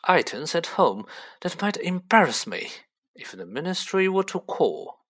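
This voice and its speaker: A man's voice: on the high side, sing-song, measured pace, fairly bright tone, pitched above the performer's own voice, exaggerated voice. Man acting cold aristocratic male wizard, haughty, condescending, menacing, widely varied intonation, storybook villain.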